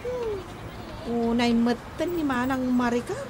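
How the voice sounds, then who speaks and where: A woman's voice: a little high, very animated, slow. An actress playing a sassy, teasing, scolding young woman in a Filipino radio comedy drama, with a Filipino accent. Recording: noisy background.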